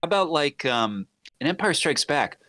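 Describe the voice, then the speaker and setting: A man talks in a loose, joking podcast style with a slightly high voice. His pitch moves around a lot as he animatedly riffs and acts out bits of mock dialogue. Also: sing-song, normal speed, pushed and bright. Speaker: podcast co-host or guest. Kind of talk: casual chat, joking pop-culture discussion.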